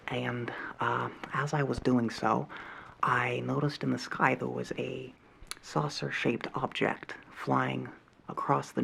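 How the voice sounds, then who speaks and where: A man's voice: mid-range voice, creaky, a bit bright, a little hiss or hum. A man playing a fumbling nerdy alien buff. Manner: hesitant, full of ums and uhs. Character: nerdy nervous male alien hobbyist guest. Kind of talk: soft-spoken ASMR roleplay.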